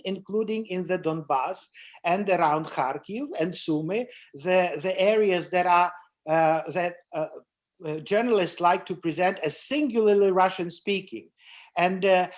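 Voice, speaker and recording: A man moderating an online discussion over a video call, speaking hesitantly with many fillers and restarts. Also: sits high. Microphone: computer mic on a video call.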